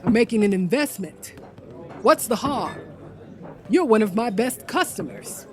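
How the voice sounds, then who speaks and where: A woman's voice: on the high side, expressive, measured pace. Woman acting brazen, callous saloon keeper. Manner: brazen, matter-of-fact. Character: callous, calculating saloon bartender and owner. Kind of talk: radio drama dialogue.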